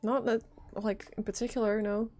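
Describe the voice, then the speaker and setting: A woman's voice: fairly high pitch, level tone, moderate pace, a little mellow, faint music behind. A woman livestreaming a game, casually commenting, joking to herself and reading dialogue aloud in a playful way. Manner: playful, joking, muttering to self.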